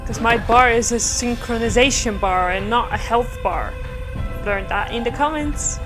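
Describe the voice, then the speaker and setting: A woman's voice: fairly high pitch, normal speed. A woman hosting a reaction video, giving casual live commentary over a video game. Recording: game music behind the voice. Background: video game soundtrack.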